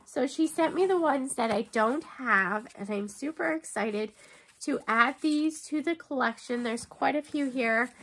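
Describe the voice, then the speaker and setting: A woman in her thirties, chatty and excitable, talking casually to camera at home while unboxing, with a stuffy nose and lots of ums. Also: high voice, rough recording. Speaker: book box unboxing vlogger. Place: bookshelf-lined room at home.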